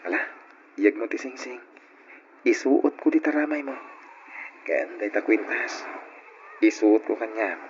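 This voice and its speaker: A man's voice: medium pitch, a little slow, pauses often, natural voice. A man acting a romantic, teasing young husband in an old Ilocano radio drama. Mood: teasing, tender. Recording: muffled, noisy old radio recording.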